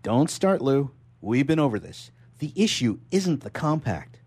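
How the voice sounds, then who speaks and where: A man's voice: medium pitch, very animated, measured pace, darkish tone, slightly rough, voice pushed up, heavily acted. A man voicing a tactful, uneasy company lawyer soothing his boss in a short story read for radio. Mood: firm. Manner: placating, deferential. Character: tactful, anxious male legal counsel soothing his boss.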